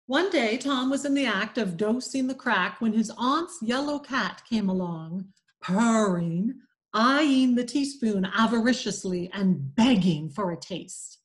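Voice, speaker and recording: Woman with a New England accent reading a novel aloud; slow, measured delivery, lightly raised pitch. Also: expressive. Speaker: community reader.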